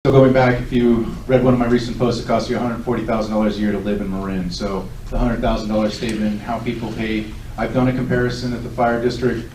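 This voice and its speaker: Fast-talking man in his forties, echoey hall. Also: lowish voice, little pitch movement, a little mellow. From Southern California. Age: 40s. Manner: fast, earnest, self-assured. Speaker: political candidate. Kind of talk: candidate forum speech and answers. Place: large echoey hall at a public event. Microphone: recorded from a distance by a tablet. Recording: echoey hall, distant mic, faint noise.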